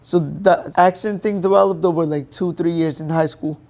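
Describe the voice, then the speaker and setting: A young man from Pakistan, a native Urdu speaker, talks in a gravelly, mellow voice pitched a little high. He answers plainly, thinking aloud and leaning on fillers as he goes. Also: fairly even intonation, normal speed. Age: young adult. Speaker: guest, professional boxer. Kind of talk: interview answers.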